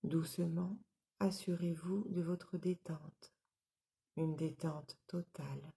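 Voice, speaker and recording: Very slow, dark-voiced woman guiding relaxation. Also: low voice. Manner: calm, soothing, measured instructions with soft breathy moments. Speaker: yoga nidra relaxation instructor. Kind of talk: guided relaxation meditation.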